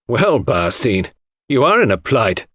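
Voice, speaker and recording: A decisive country gentleman, acted by a man in an audiobook. He is hearty, brisk and practical, with a lively range and a dry sound. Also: medium pitch, no echo. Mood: jovial.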